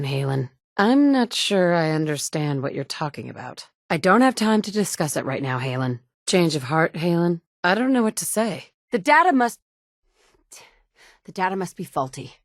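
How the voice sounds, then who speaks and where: A hardened wasteland survivor woman speaks in short, firm lines with a lowish voice. The sound is close and dry, with no room around it. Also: dry recording. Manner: terse and firm, often curt. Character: tough adult woman wasteland survivor, blunt leader. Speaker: player character. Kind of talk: video game dialogue lines. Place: studio booth.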